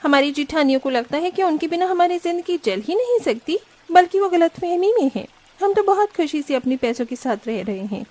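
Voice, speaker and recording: A woman's voice: very high-pitched, expressive, a little fast, a little mellow. A woman voicing a haughty, spoiled and showy young rich sister-in-law in a cartoon family story. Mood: boastful. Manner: scornful, complaining, showy.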